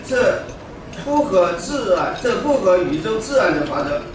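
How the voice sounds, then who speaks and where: A man's voice: high-pitched, big pitch swings, moderate pace. A man delivering a formal ceremonial speech at a conference. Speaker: conference speaker. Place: large conference hall. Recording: echoey hall, distant mic, noisy.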